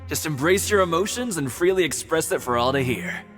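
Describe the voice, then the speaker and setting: An exuberant man with a fairly high voice that swings widely in pitch. He gives hearty, encouraging pep talks as a video game character, with music underneath. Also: expressive, fairly quick, a bit bright. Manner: hearty, emphatic pep talk. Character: exuberant, encouraging male self-help society member.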